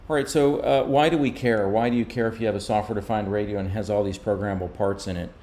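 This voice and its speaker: A man's voice: fairly low pitch, fairly even intonation, fast talker, mellow. A man giving an informal, explanatory technical slide presentation as a company presenter. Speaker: radio manufacturer's presenter.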